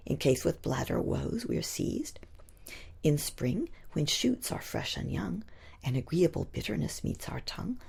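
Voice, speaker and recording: Husky, slow woman reciting poetry. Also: fairly low pitch, mellow. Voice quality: husky, breathy, gravelly. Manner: theatrical, rhyming cadence with deliberate emphasis. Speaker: poet reading own work.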